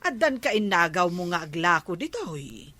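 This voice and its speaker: A woman's voice: lowish voice, big pitch swings, normal speed, pushed and bright. A woman with an Ilocano Filipino accent playing a practical, chatty village woman in a comedy drama.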